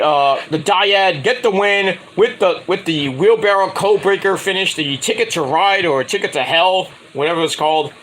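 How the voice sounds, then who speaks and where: A man's voice: on the high side, sharp tone, slight background noise, low-quality recording. A man casually reviewing a wrestling show, rambling and correcting himself, with sarcastic asides while he fights off a cold. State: getting a cold, voice going. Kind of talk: casual solo review recap.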